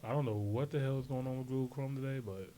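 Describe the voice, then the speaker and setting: A man's voice: mid-range voice, fast talker, a crowd in the background, rough recording. An American man hosting a reaction video in a laid-back, slangy chat. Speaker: reaction channel host.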